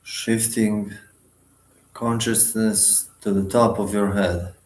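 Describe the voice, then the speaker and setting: Very slow, low-voiced man guiding meditation. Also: little pitch movement, reverberant, low-quality recording. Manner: sparse short phrases with long pauses. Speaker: guided meditation leader. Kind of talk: guided meditation, spoken instructions.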